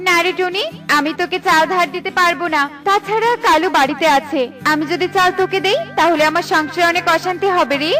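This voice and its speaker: Stingy, showy lady bird, acted by a woman: piercing, high, brisk cartoon voice. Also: bright, forceful tone, faint music behind. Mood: dismissive. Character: boastful, stingy well-off neighbour bird woman. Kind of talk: children's cartoon voice acting.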